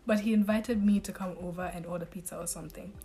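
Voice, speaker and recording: A woman's voice: fairly low pitch, fairly even intonation, quick pace, dark tone, faint music behind. A young woman reading a dating story aloud on a podcast in a casual storytelling way, adding sympathetic asides.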